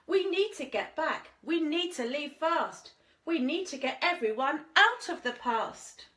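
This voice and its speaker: An anxious little boy hero from a picture book, acted out by a woman reader. The voice is pitched high and pleads urgently, with lively rises and falls. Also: a little slow. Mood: urgent pleading. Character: anxious young boy adventurer pleading to get home.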